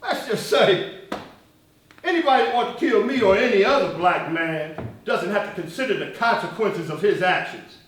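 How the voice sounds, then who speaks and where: Heard far off in a very echoey hall, a male stage actor plays a defiant militant leader with a high-pitched male voice. He argues like an accusing orator, leaning hard on words and pausing deliberately. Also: lively intonation, a bit bright, slight background noise. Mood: bitter, cutting. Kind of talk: live stage play acting. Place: stage in a reverberant room, recorded from afar. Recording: echoey hall, distant microphone.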